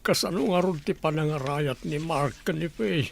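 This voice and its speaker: A man playing an ailing, skeptical, well-off father with a husky, gravelly voice in a comedy radio drama. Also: fairly high pitch, normal speed, a little hiss or hum. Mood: weak, doubtful.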